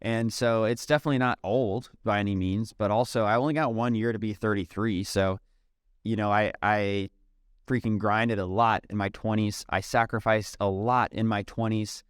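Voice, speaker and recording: A man, chatting casually as a podcast guest, relaxed and peppered with "um" and "dude". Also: lowish voice, normal speed, clean tone, no echo, clean studio sound. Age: 30s. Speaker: podcast guest, software company CEO. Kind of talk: casual interview conversation.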